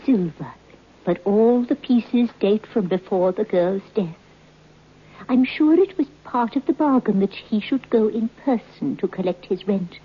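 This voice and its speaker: A woman's voice: mid-range voice, animated, measured pace, husky. A woman playing a knowing, confiding storyteller in an old-time radio drama. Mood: confiding, composed. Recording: muffled, faintly noisy old radio recording.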